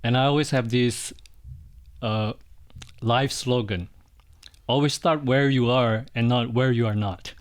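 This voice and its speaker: A middle-aged man from Indonesia, a Buddhist monk, answering interview questions in a hesitant, soft way with frequent restarts. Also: mid-range voice, fairly even intonation, a little slow, pauses often.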